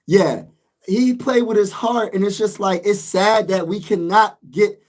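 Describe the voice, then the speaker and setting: A man losing his voice, ranting emphatically as a sports vlogger in a casual, frustrated way. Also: high voice.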